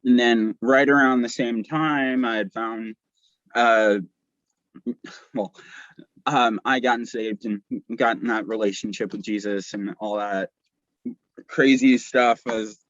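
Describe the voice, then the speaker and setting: A man's voice: mid-range voice, fairly even intonation, slow, pauses often, a bit bright, dry recording. A young man chatting casually and telling personal stories as a guest, heard over a muffled internet call. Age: young adult. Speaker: podcast guest. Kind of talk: casual chat, personal storytelling. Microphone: video call.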